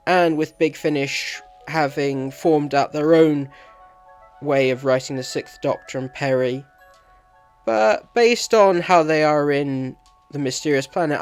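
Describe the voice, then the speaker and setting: Male video reviewer, slightly high voice, scripted commentary, faint music behind. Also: clean tone.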